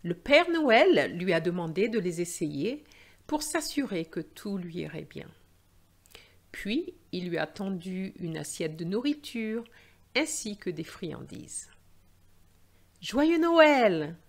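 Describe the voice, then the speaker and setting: Female storyteller for a learners' tale: unhurried, careful phrasing, animated pitch. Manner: deliberate, carefully phrased, expressive. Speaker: language teacher and storyteller. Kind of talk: scripted storybook narration for learners.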